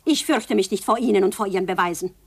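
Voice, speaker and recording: An actress playing a proud, scornful middle-aged aunt in a 1950s German radio play, with an imperious, biting manner. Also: female voice, mid-range voice, fast, husky. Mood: scornful, defiant. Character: proud, scornful, defiant aunt accused of murder. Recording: 1950s radio drama recording.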